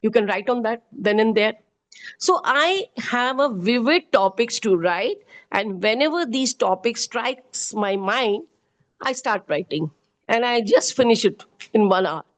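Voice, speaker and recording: A middle-aged woman with an Indian accent, a poet and educator answering interview questions earnestly, often pausing with fillers. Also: fairly high pitch, expressive. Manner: earnest, hesitant with frequent fillers.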